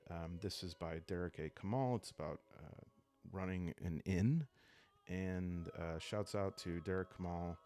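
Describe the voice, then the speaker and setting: A man speaking calmly and soothingly in an unhurried way, hosting a relaxing role-playing series meant to help listeners fall asleep. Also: sits low. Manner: calm, unhurried, soothing delivery. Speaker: host of a solo role-playing video series. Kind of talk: calm, sleep-aid ASMR narration to viewers. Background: background music.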